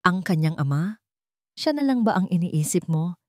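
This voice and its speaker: A woman's voice: on the low side, animated, moderate pace, no echo, studio quality, natural voice. A woman voicing a scornful, mocking mistress, the villain of an audio romance story.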